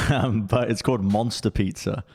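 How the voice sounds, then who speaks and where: A man's voice: on the low side, fairly even intonation, normal speed, a little mellow, slightly rough. A man hosting a podcast, chatting casually and steering the talk with wry jokes. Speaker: podcast host. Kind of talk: casual chat.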